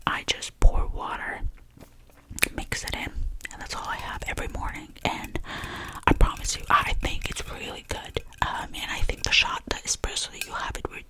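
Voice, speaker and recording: A woman, whispering softly through a chatty, rambling ASMR talk about her favourite things. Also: poor audio. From Albania. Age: 20s. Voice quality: soft unvoiced whisper. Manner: rambling and chatty, with many fillers. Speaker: ASMR creator.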